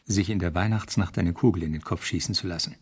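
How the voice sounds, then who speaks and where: Ernster junger Polizist, belegte Stimme. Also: male voice, deep voice, fast, husky. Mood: reflective narration. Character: young male London police constable, earnest newcomer. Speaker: actor, narrating protagonist. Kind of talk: 1970s radio play narration.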